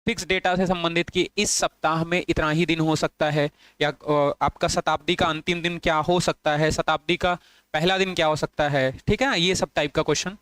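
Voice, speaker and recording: A man's voice: fairly high pitch, steady tone, normal speed, a bit bright, clear, resonant tone, dry recording. A man teaching an online exam-prep lesson, repeating points and asking and answering his own questions, with English phrases mixed into his Hindi.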